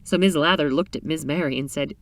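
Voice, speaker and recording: A woman reading a short story aloud in an expressive, dramatic storytelling style. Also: sits low, a little fast, no echo, far from the mic. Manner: animated, dramatic reading with character voices.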